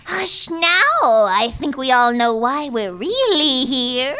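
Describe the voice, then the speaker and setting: A woman's voice: high-pitched, very animated, slow pace, bright, forceful tone, smooth, soft background music, heavily acted. A woman playing a scheming, crude female witch in a live comedy radio play, with a mocking, theatrical drawl.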